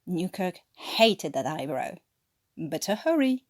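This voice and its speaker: French lady reading a story in english accent w/ french tinge, mellow voice, super dry recording. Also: on the low side, big pitch swings, slow, no echo. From France. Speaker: amateur podfic reader. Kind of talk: scripted story narration.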